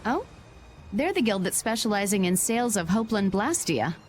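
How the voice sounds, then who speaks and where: A woman's voice: medium pitch, very animated, normal speed, a little hiss or hum, close to an everyday voice. A woman voicing a composed, businesslike adult merchant leader in a video game dub. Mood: pleased, composed.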